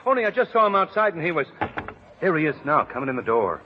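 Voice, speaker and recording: An actor playing a sociable, needling young small-town rival with a folksy manner in 1930s radio drama. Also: male voice, on the high side, big pitch swings, fast. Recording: muffled, reverberant, faintly noisy 1930s radio.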